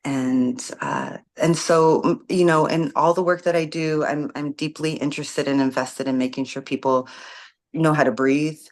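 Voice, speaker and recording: Reflective woman with many pauses. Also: sits low, steady tone. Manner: thoughtful, many ums and restarts. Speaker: guest, community organizer and facilitator. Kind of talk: casual interview conversation.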